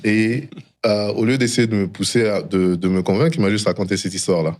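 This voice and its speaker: A middle-aged man with a low-pitched voice speaks as a guest in a conversation. He recounts things reflectively, in a storytelling way, and drops English phrases into his speech. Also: fairly even intonation, brisk, darkish tone. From DR Congo and Canada. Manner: mixes English phrases into French. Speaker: interview guest, tech founder.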